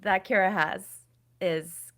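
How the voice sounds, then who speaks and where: A woman's voice: mid-range voice, fairly even intonation, takes it slowly, a bit bright. A woman co-hosting a casual interview podcast, joking around and asking playful questions. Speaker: podcast co-host.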